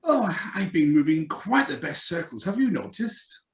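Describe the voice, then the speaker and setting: An actor playing a suave, sneering blackmailer with mocking, drawn-out courtesy in a live radio drama reading. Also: male voice, a little high, very animated, roomy sound. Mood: smooth, taunting.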